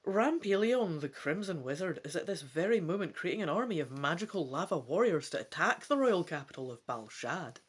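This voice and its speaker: A woman reads as an earnest young fantasy adventurer of royal birth. The delivery is emphatic and declamatory, with a lively, wide-moving pitch and a determined, easily indignant temper. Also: a little fast, few pauses, breathy edge. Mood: earnest, urgent. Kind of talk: dramatic gamebook reading.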